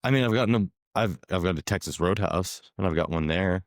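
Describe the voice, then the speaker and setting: Deep, slightly raspy podcast guy. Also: fairly quick, dry recording, studio quality. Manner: laid-back, reads out stats. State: coming down with a cold. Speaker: podcast co-host. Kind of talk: casual sports chat.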